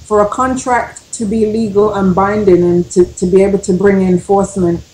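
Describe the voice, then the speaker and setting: A woman's voice: medium pitch, little pitch movement, normal speed, warm tone, reverberant, rough recording. A woman giving emphatic, step-by-step advice on a radio talk show, repeating her key points. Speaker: panelist giving legal advice.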